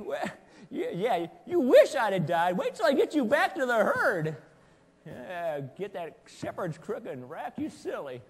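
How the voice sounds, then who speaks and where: Folksy old shepherd, high voice swooping widely, sassy and excitable, comic stage monologue in an echoing hall. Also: male voice, breathy edge, exaggerated voice. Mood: teasing, folksy. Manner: rambling, playful asides, scolding and chuckling. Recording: long echoing hall reverb.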